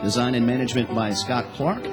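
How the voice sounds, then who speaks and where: Man, radio announcer, scripted credits read, medium pitch, plucked guitar music behind. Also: brisk. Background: acoustic guitar music.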